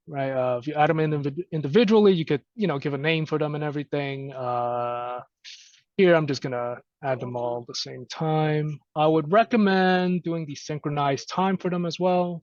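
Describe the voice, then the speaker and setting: A man with a slightly high voice leads a technical training session. He talks informally and thinks aloud through each step of an unscripted walkthrough. The sound is dull and lo-fi. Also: no echo. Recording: dry, dull lo-fi audio.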